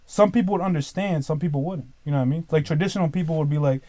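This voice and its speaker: Mellow-voiced young man, rambling casually. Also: a little high, racing, no echo. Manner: rambling, leans heavily on "like" and "dude". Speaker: podcast guest, business owner. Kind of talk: casual podcast chat.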